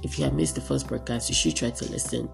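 A woman hosting a radio teaching broadcast, her voice raspy and hoarse from a cold, speaking earnestly and encouragingly. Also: extremely low pitch, very animated, fast talker, soft background music. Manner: earnest, encouraging, repeats herself for emphasis. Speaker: radio preacher and host.

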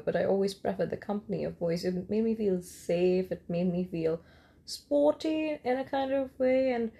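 A young woman from Kolkata, nursing a cold, hosts her own podcast in a loose, rambling late-night monologue. Her voice sits low and carries a gravelly fry. Her tone is dark and mellow, and she often pauses on ums and restarts her sentences. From India, Kolkata. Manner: rambling with frequent ums and restarts, light laughs. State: has a cold. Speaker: podcast host.

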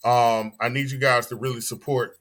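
Bright-voiced man hosting a podcast. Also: mid-range voice, normal speed. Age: 40s. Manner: animated, emphatic, repeats phrases. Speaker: podcast host. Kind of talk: casual chat.

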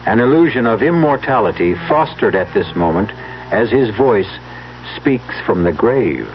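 Measured male radio narrator, dull noisy recording. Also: on the low side, expressive. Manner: measured, atmospheric, literary phrasing. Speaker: radio drama narrator and host. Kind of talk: scripted dramatic narration.

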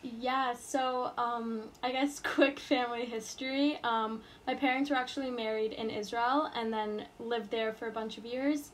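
Higher-voiced young woman, hesitant and chatty. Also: fairly even intonation, a little slow, few pauses, a little hiss or hum. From New York. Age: college student. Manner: hesitant, many fillers and restarts. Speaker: interviewee. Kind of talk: casual interview.

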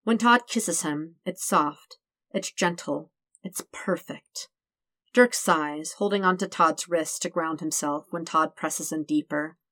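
A woman's voice: low voice, slow pace, a bit bright, dry recording. A woman reading a fictional story aloud as a scripted narration. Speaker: podfic reader.

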